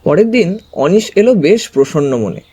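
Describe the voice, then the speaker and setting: Lively, mellow male story narrator. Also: high-pitched, normal speed, breathy edge. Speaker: story narrator, also voicing the narrating character. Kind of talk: dramatized first-person story narration.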